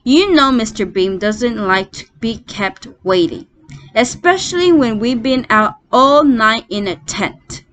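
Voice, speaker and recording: A woman's voice: a little high, lively intonation, measured pace, fairly bright tone, dry recording. A woman voicing an impatient farmhouse woman in a children's story, calling out bossy orders.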